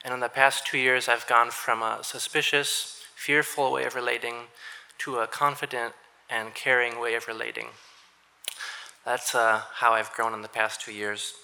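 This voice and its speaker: A young man from Minnesota giving an earnest graduation speech to his church, with dry understated humor and frequent throat-clearing. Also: medium pitch, level tone, normal speed, pushed and bright, poor audio. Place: church hall with audience.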